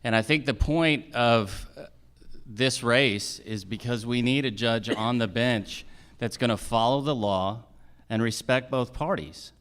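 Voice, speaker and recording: A man's voice: mid-range voice, unhurried, a bit bright, smooth. An American man delivering a firm political speech as a judicial candidate, building points through rhetorical repetition.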